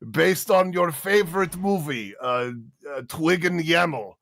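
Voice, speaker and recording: A man's voice: fairly high pitch, slow pace, dry recording, studio quality. A man in casual group chat on a livestream, joking around and talking playfully. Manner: playful, animated, jokey. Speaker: voice actor on a cast livestream.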